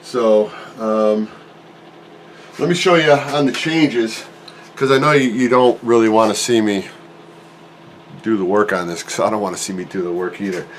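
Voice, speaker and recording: Man, forties, stuffy nose from a cold, low voice, rambling workshop chat, close handheld camera, faint background hum. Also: moderate pace, long pauses. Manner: rambling, self-correcting, folksy. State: congested from a cold. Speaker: RC plane hobbyist and channel host. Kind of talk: casual workshop vlog chat. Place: home workshop, indoors. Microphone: handheld camera held close.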